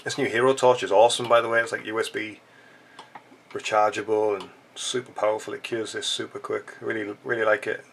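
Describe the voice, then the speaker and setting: A man's voice: lowish voice, level tone, fairly quick, creaky, fairly bright tone, a little hiss or hum. A man with a stuffy, blocked-up nose, chatting casually and joking as he walks through a fly-tying tutorial. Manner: chatty, joking asides while working. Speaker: fly-tying channel host.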